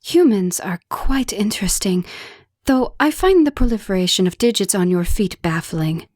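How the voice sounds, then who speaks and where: A woman voicing a coolly puzzled alien creature for a video game in a flat, detached monotone. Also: medium pitch, normal speed, no room sound, clean studio sound, lightly acted. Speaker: voice actress.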